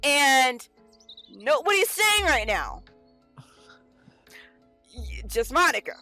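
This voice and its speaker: Playful giggly girl streamer, woman w high lively voice, casual game chat, soft music behind. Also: takes it slowly. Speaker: streamer playing a visual novel.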